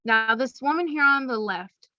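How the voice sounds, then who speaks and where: Young female presenter for a kids' class: higher voice, upbeat and clear, dull dry computer-mic sound. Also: expressive, moderate pace, bright, forceful tone, dry recording. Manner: upbeat, teacherly, speaks plainly to children. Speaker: presenter to schoolchildren.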